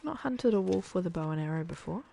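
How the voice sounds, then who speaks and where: Gravelly-voiced woman streamer chatting. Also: on the low side, lively intonation, fast. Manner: chatty, thinking aloud, soft asides. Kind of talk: casual gameplay commentary.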